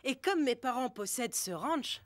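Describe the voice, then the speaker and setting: A woman's voice: high-pitched, animated, normal speed. A woman voicing a bossy youngster from a ranch-owning family in a children's cartoon. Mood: informative, bossy. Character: bossy youngster whose parents own the ranch. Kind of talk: animated children's series dub.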